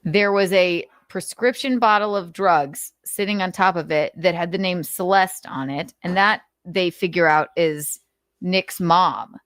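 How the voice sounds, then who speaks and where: A woman's voice: fairly low pitch, measured pace, a bit bright, dry recording, studio quality. A woman host reading notes aloud and adding blunt, sarcastic commentary, swearing freely. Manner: sarcastic, mocking.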